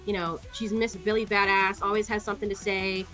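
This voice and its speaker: Woman, mid-pitched voice, casual scornful commentary, sarcastic, swears, faint music behind. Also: flat delivery, fairly quick, bright, forceful tone. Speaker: online commentator. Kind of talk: casual commentary walking through court records.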